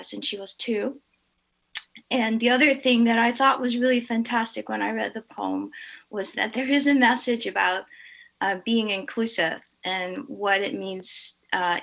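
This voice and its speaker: A woman's voice: medium pitch, gravelly, bright, forceful tone, highs cut off. A middle-aged woman from the Netherlands, a native Dutch speaker, introducing and reading a poem. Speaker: hospital research director reading a poem. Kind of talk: personal introduction and poetry reading.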